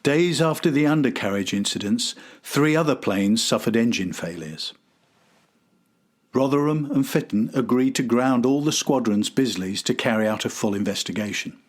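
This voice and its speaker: A man's voice: mid-range voice, lively intonation, moderate pace. A man hosting and narrating a history documentary from a script. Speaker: host and narrator.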